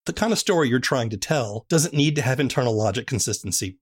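Husky guy interviewer, medium pitch, casual thoughtful chat. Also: animated, fast talker.

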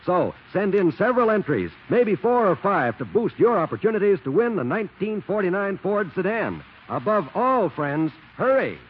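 A man's voice: high-pitched, big pitch swings, moderate pace, few pauses. An American man reading scripted announcements as a 1940s radio announcer. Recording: muffled 1940s radio recording.

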